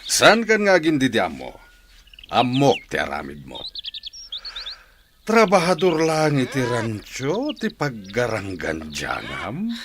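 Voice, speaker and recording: A man's voice: mid-range voice, wildly swooping pitch, slow. A man with an Ilocano Filipino accent playing a stern, hot-tempered father in a radio drama. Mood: angry, scolding. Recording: clear background noise with chirping.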